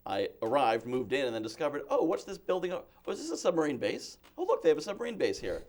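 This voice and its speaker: A man's voice: a little high, huge pitch swings, fast talker, a little mellow. A man from Massachusetts, a guest in an interview, telling self-deprecating stories in a chatty way. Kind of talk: casual interview talk.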